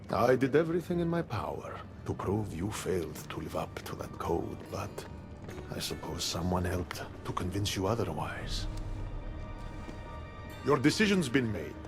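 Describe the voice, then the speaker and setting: A sardonic lord of the dead, taunting and theatrical. His pitch swoops widely and he takes his time over words, as in a game cutscene, with clear music underneath. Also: big pitch swings, a little slow, heavily acted. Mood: menacing. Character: mocking, theatrical god of the underworld. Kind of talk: video game cutscene acting. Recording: music behind the voice.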